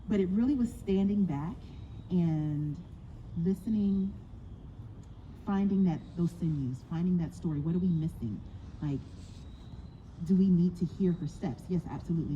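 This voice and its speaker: Woman, director, halting, reflective, live Q&A, dull muffled sound, clear background noise. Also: sits low, mellow. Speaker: audio play director. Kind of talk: live Q&A talk. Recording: muffled, noisy live event recording.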